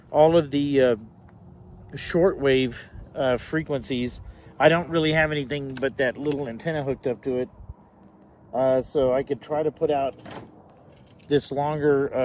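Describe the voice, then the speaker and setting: A man's voice: mid-range voice, a little mellow, a little hiss or hum. A man giving a casual hands-on demonstration as a hobbyist, hesitant and thinking aloud as he goes. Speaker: hobbyist reviewer demonstrating a shortwave radio.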